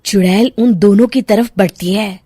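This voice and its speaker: A woman's voice: medium pitch, normal speed, dark tone, breathy edge, no room sound, clean studio sound. A woman giving scripted narration for a cartoon story as the storyteller.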